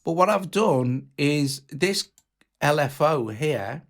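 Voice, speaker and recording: A man giving an informal, enthusiastic walkthrough as a music software tutorial presenter, talking casually. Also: fairly high pitch, expressive, slow. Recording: music playing behind the voice. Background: drum machine and instruments.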